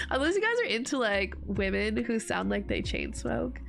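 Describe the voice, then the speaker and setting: A woman's voice: fairly high pitch, very animated, measured pace, vocal fry. A young woman streaming casual chat while getting over a cold, her voice stuffy and congested, rambling and laughing often. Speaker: VTuber streamer.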